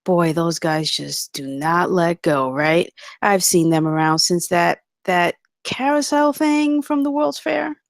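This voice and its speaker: A woman's voice: lowish voice, expressive, slow, vocal fry, few pauses, dry recording, studio quality, exaggerated voice. A woman reading the part of a brash, cynical male executive in a script table read, with a blunt, chummy manner. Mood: confiding, knowing.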